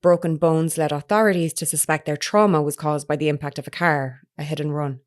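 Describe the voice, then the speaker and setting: A woman playing a sharp-witted, persistent investigative reporter in an audio drama, with an articulate, probing manner. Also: low voice, steady tone, brisk, lightly acted. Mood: matter-of-fact. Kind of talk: naturalistic audio drama acting.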